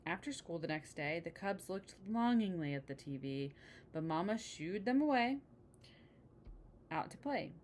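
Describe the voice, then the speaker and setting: A woman reading a children's picture book aloud, pausing for chatty asides and questions to the listener. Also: on the low side, lively intonation, a little mellow, a little hiss or hum. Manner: engaging, asks the listener questions. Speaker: storybook reader.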